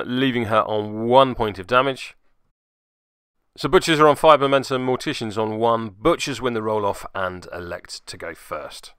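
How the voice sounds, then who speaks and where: A man's voice: medium pitch, sharp tone, clear, resonant tone, dry recording, clean studio sound. A man narrating a casual play-by-play recap of a tabletop game as its host, with wry, self-deprecating asides.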